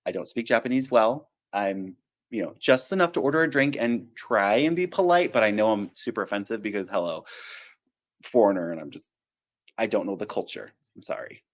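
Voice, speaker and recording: A man's voice: lowish voice, expressive, a little fast. A man, a flight attendant hosting a casual live-stream Q&A, chatty and self-deprecating, drifting into tangents and acting out little quotes.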